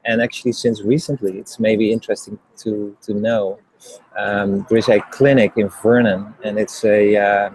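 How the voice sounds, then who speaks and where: Low, flat-voiced Dutch man. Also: normal speed, slight background noise, rough recording. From Netherlands. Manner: hesitant, many ums and restarts. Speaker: guest, HeartMath trainer. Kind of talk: casual interview talk.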